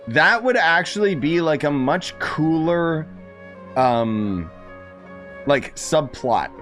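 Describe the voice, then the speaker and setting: A man's voice: fairly high pitch, a bit bright. A man giving casual, sarcastic playthrough commentary as a gaming streamer, with a mocking edge. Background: faint game music.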